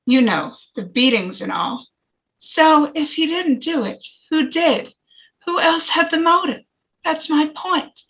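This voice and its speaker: A woman's voice: high-pitched, animated, slow pace, a bit bright, full character voice. A woman playing a plain-spoken, self-assured working man on a jury in an amateur table read. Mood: earnest. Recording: dull, lo-fi microphone.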